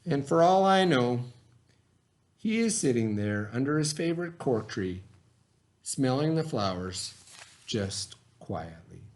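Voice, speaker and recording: A man reading aloud and talking to children in simple, expressive phrasing, like a teacher. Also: medium pitch, slow pace. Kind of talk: children's story read-aloud, then informal talk to children.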